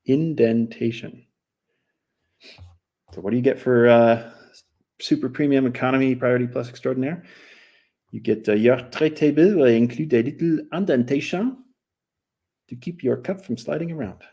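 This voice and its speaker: A man's voice: medium pitch, normal speed, pauses often, dark tone, husky. An American man from New Mexico, chatting casually and rambling in a self-deprecating way while he draws on a live stream. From New Mexico, USA. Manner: rambling, self-deprecating, slips into other languages. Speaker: cartoonist streaming while drawing.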